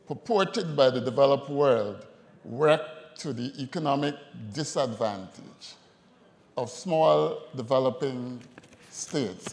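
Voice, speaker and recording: A man's voice: medium pitch, darkish tone. Earnest Guyanese man in an echoing hall. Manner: measured, earnest, rhetorical questions. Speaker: audience member commenting. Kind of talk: formal comment from the floor. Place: large conference hall. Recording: reverberant conference hall.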